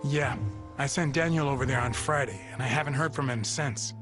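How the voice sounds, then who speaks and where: A man's voice: mid-range voice, a little fast, over music, close to an everyday voice. An actor playing a worried, businesslike man in game cutscene dialogue, earnest and matter-of-fact. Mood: concerned.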